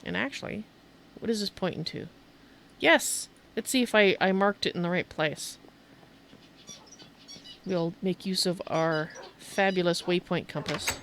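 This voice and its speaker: Creaky-voiced woman giving casual game commentary. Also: on the low side, a little slow, pauses often, a bit bright, slight background noise. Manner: rambling, thinking aloud. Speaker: streamer hosting a video game tour.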